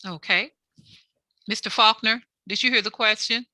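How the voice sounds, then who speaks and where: A woman with a very bright, sharp voice chairs a board meeting. She moves the business along, hesitating with fillers. The sound is dry. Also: a little high, steady tone, normal speed, no room sound.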